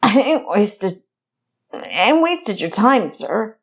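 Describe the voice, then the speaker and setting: A woman's voice: medium pitch, sing-song, slow, breathy edge, muffled recording, pitched above the performer's own voice, heavily acted. A woman voicing a frightened, pleading male tracker with stammering, folksy, ungrammatical speech in a storybook reading.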